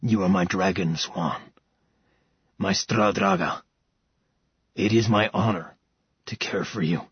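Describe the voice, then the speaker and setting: Man voicing a cursed dragon lover, husky gravelly voice, low-pitched, tender, solemn. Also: slow pace, pauses often, no room sound, lo-fi sound, exaggerated voice. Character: brooding cursed male dragon shifter lover.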